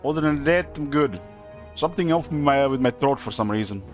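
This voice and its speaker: A young man commenting on his strategy game play in a halting way, with many fillers and self-corrections, while losing his voice. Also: mid-range voice, expressive, faint music behind. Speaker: game streamer. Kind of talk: casual gameplay commentary.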